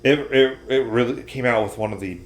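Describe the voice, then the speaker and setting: A man's voice: medium pitch, fairly quick. A man talking casually as a podcast co-host, chatting about entertainment. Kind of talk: casual chat about games and shows. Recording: roomy, echoey room.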